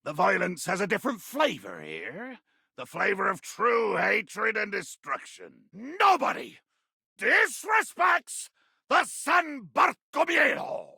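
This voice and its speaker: A man voicing a bloodthirsty, irascible pirate captain for a video game, ranting theatrically in archaic sea-dog speech with a gravelly growl. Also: high-pitched, very animated, slow, bright, forceful tone, no echo, clean studio sound, exaggerated voice. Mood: furious. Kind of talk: video game character acting.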